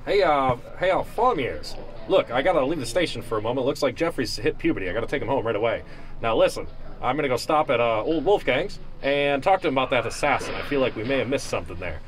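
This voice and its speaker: A bossy police chief in a radio comedy, played by a man. He rattles off orders quickly in a hurried, commanding manner. There is faint noise behind him. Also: medium pitch, expressive, lightly acted, low-quality recording. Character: brisk, bossy male police superior. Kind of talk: improvised old-time radio play acting.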